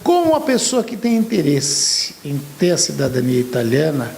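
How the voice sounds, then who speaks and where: A man's voice: on the high side, wildly swooping pitch, moderate pace, gravelly, darkish tone, slight background noise. A man hosting a television interview show, speaking formally and expansively. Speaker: TV talk show host. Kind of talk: studio TV interview.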